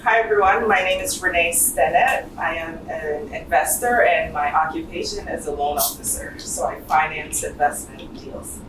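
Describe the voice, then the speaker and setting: A woman's voice: deep voice, moderate pace, hardly any pauses. A woman introducing herself and her work at a group event. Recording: very noisy echoey room, distant mic.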